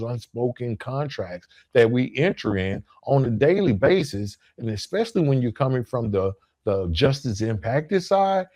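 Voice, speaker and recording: A man speaks as the host of a motivational podcast in a mid-range voice. His delivery is deliberate and emphatic, repeating phrases for weight. He keeps it conversational with small check-ins to the listener. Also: mid-range voice, a little mellow. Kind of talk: motivational solo podcast monologue.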